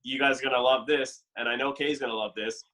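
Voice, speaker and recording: A man's voice: mid-range voice, fairly even intonation, quick pace, sharp tone, poor audio. A young man from Canada talking casually on a podcast, rambling with many fillers and "like". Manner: chatty, lots of fillers and "like". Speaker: dating show contestant.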